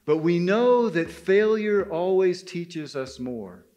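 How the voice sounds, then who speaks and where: A man telling a story to a live gathering in a deliberate, dramatic way, with pauses, as the leader of the group. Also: high-pitched, expressive, warm tone. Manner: deliberate, pausing, addresses listeners as brothers. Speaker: storyteller leading a men's spirituality gathering.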